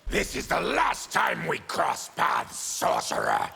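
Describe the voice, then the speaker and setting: A man voicing a savage, diseased mutant warrior and bitter former soldier in a video game, with a gravelly, menacing, forceful growl. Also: fairly high pitch, wildly swooping pitch, slow pace, faint music behind, exaggerated voice. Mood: vengeful. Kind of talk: video game fighting character dialogue.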